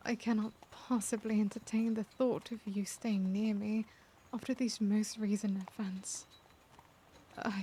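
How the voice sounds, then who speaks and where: A mellow, dark-toned vampire lady, played by a woman, caring yet tormented by remorse. She speaks softly and breathily in formal, old-fashioned phrases, sometimes dropping to a whisper. It is an intimate ASMR roleplay monologue with faint noise behind it. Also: medium pitch, close to an everyday voice. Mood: regretful, resigned.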